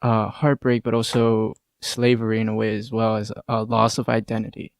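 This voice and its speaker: A man co-hosts a casual interview podcast in a lowish, creaky voice. His intonation stays quite flat even as he admires what he hears. The recording is dry and close. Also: normal speed, no echo. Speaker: podcast co-host.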